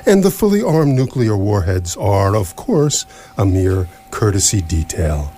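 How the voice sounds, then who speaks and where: A man playing an ancient recorded announcement in a comic radio play, formal, officious and smoothly courteous with menace underneath. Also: on the low side, very animated, unhurried, mellow. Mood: polite menace. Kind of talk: comic science fiction radio theatre. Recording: noisy live stage recording.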